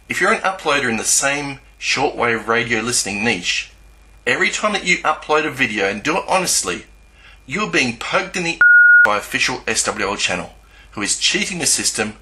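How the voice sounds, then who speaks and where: A man giving an online video commentary over screenshots, speaking in a halting, hesitant way. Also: mid-range voice, bright, forceful tone, rough recording. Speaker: YouTuber presenting screenshots. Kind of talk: screen-recording commentary, partly scripted exposé.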